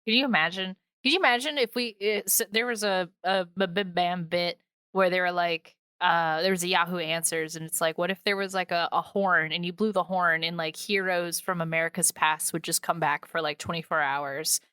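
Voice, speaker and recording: A woman in her twenties co-hosting a podcast in casual chat, animated and slangy, swearing freely. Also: fairly low pitch, moderate pace, clear, resonant tone, no echo, clean studio sound. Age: 20s. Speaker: podcast co-host.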